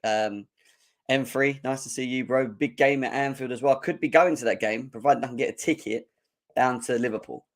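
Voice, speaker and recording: A young man, his voice hoarse and croaky, hosting a live football fan show and chatting energetically while clearing his throat. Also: mid-range voice, normal speed. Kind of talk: live-stream chat.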